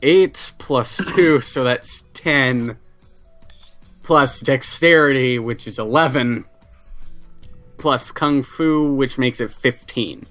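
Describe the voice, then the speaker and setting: A man's voice: medium pitch, takes it slowly, a bit bright, faint music behind. A man chatting casually as a player in a tabletop role-playing game, brash and given to swearing.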